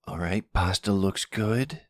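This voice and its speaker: A man's voice: sits low, measured pace, no room sound, studio quality. A man playing an earnest, cheeky young son in an ASMR roleplay, talking casually and protectively. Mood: eager, defensive.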